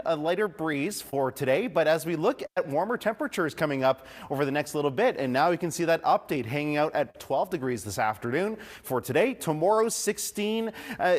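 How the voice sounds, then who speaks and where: A man presenting weather and traffic in a conversational broadcast style. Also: medium pitch. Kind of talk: unscripted-sounding broadcast forecast presentation. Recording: long reverberant room sound.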